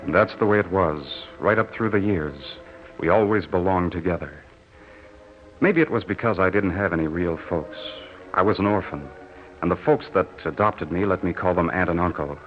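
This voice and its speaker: Muffled, low-voiced, wistful young soldier played by a man. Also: normal speed, lightly acted. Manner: folksy, wistful, solemn storytelling. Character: earnest, lovelorn young farm-raised soldier telling his story. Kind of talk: 1940s radio drama narration. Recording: muffled old radio recording with music. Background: dramatic music.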